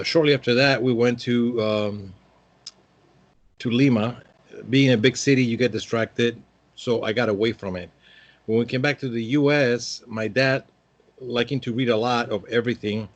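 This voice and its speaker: A man's voice: medium pitch, steady tone, normal speed. A man in his fifties from Peru, speaking as a guest in a casual interview and rambling with many hesitant fillers. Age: 50s.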